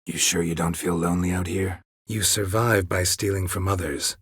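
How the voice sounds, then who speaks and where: Gruff game monster hunter, super raspy low voice, dry and deadpan, close dry sound. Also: male voice, moderate pace, no room sound. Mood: deadpan, wry. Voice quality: low, gravelly, husky. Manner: terse, dry, deadpan, clipped sentences. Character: gruff, weary, sardonic male monster hunter for hire. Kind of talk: video game character dialogue.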